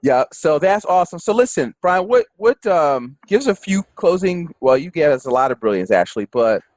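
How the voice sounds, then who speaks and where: A man's voice: on the high side, sing-song, a little fast, a little mellow, dry recording. A man hosting a finance podcast, talking in a folksy, rambling way with lots of fillers. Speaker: podcast host. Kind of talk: casual interview chat.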